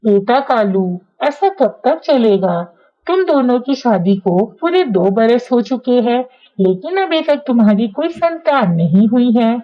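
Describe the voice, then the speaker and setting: A woman plays a bitter old crow mother-in-law in a cartoon moral tale. The voice is high and harsh, forever nagging and lamenting. The sound is dull and lo-fi. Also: sing-song, a little slow. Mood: bitter complaining. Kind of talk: Hindi cartoon moral story dialogue.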